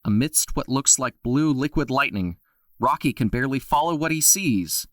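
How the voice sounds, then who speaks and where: A man narrating an audio drama with expressive storytelling. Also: mid-range voice, moderate pace, no room sound, studio quality.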